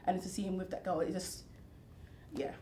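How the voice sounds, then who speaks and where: A woman's voice: lowish voice, level tone, moderate pace, dark tone, reverberant, slight background noise. A British woman answering interview questions in a blunt, emphatic way. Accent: British English. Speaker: guest. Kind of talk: casual interview chat.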